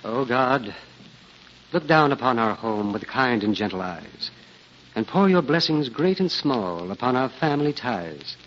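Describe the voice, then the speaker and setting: A man giving a solemn, reverent 1940s radio recitation of a prayer with measured phrasing. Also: mid-range voice, sing-song, fairly bright tone, slight background noise. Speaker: radio reader of a prayer poem. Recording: muffled old radio recording, long reverb.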